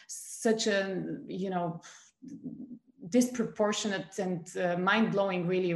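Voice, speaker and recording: A woman's voice: medium pitch, gravelly, darkish tone, reverberant. A woman from Bulgaria, a guest in an interview, speaking thoughtfully with many fillers and restarts. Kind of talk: conversational interview.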